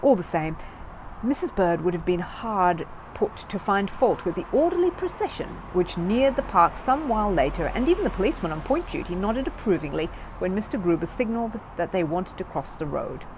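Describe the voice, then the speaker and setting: A woman narrating a children's storybook in an expressive, scripted storytelling style. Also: medium pitch, a little fast, noisy background. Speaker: storyteller reading a children's book aloud.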